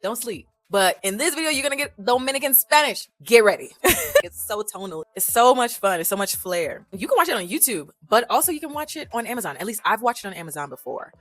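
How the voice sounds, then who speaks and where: A woman vlogging to camera about films, chatty and animated, full of playful asides and slang. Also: a little high, quick pace, faint music behind. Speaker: vlogger reviewing films for language learners. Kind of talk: casual chat to camera.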